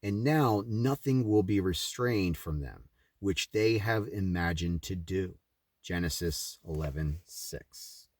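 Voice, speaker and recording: A man's voice: deep voice, slow, darkish tone. A man reading a scripted religious text aloud as narration. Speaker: reader of a religious book. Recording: roomy echo, distant from the mic.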